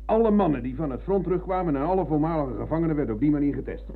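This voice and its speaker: An actor playing a proud, touchy older comrade and former prisoner of war, insistent and emphatic, in a Dutch radio drama. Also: male voice, a little high, lively intonation, very fast, creaky. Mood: defensive. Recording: muffled, dull old radio recording.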